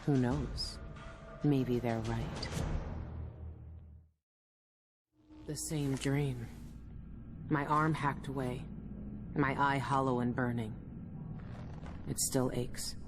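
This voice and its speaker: A woman's voice: rumbling low, steady tone, slow, pauses often. A woman playing a weary, guilt-ridden former assassin in a video game, introspective and clipped over music. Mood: somber. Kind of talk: brooding video game narration and dialogue.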